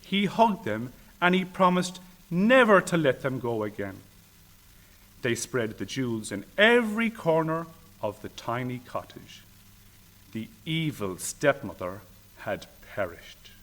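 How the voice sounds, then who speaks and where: A man reading a children's fairy tale aloud in an expressive, deliberate, theatrical way. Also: medium pitch, poor audio.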